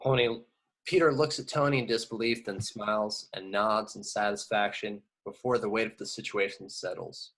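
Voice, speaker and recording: A man's voice: on the low side, steady tone, moderate pace, a bit bright, poor audio. A man reading scripted action descriptions as narrator of an amateur table read. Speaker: reader of stage directions.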